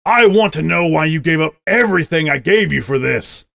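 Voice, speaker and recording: A man voicing a stern, disapproving older wolf father who speaks gruffly and accusingly with a raised voice. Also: on the high side, animated, slow pace, a little mellow, dry recording, studio quality, heavily acted.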